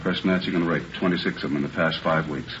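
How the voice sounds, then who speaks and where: Low-voiced brusque American police captain. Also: male voice, steady tone, fast, a bit bright. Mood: brisk, probing. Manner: terse, questioning. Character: gruff male police captain, brusque and authoritative. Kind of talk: 1950s radio police drama acting. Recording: muffled, hissy old radio recording.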